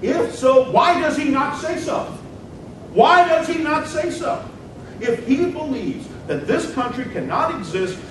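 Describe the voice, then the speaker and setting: A man's voice: animated, measured pace. A man playing an impassioned 19th-century American senator, declaiming emphatic political oratory and rhetorical questions in a dramatic reading. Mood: indignant. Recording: very echoey hall, distant mic, loud rumbling noise.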